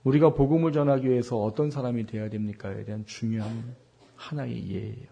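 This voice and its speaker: Korean pastor guy in his 40s, husky worn-out voice, preaching with lots of rhetorical questions and repeats. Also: medium pitch, steady tone, a little fast, mellow, lo-fi sound. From South Korea. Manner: rhetorical questions to the congregation, emphatic repetition. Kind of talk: Sunday sermon with personal anecdotes.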